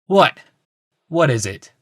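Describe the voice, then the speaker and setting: Audiobook role: tired young male healer played by an older-sounding narrator, a man, raised pitch, put-upon and defensive. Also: very animated, slow pace, breathy edge, no room sound, studio quality, full character voice. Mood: weary. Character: weary, muzzy young male healer and attendant.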